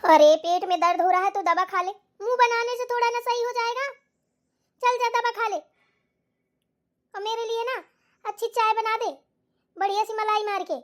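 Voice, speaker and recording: A woman voicing a bossy, scolding older mother-in-law in a family cartoon, sharp-tongued and demanding, with a childlike voice. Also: very high-pitched, steady tone, moderate pace, pauses often. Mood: bossy, impatient. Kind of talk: Hindi cartoon voice acting.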